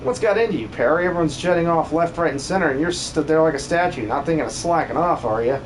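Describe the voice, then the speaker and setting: A man's voice: a little high, animated, fast talker, a little hiss or hum, pitched below the performer's own voice, close to an everyday voice, low-quality recording. A man voicing a bossy, scolding villager with a brusque, nagging manner in a hobby game dub.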